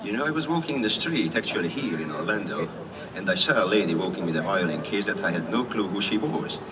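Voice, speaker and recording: Deep-voiced Hungarian man on a distant PA. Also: quick pace, background noise. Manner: storytelling, acts out a dialogue with lively pitch jumps. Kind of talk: addressing an outdoor concert audience. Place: outdoor concert venue. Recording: echoey outdoor PA sound from far off.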